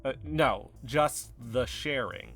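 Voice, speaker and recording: Man playing an animated, earnest podcast investigator. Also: medium pitch, slow, faint music behind. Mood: eager, earnest. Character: earnest, principled, excitable male podcast investigator. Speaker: podcast host. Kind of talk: naturalistic audio drama dialogue.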